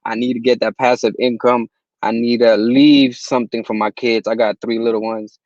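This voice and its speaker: A young man from Puerto Rico, a guest in a casual interview, talking in relaxed slang with many restarts. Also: medium pitch, normal speed, no echo, dull audio. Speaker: guest, music distributor.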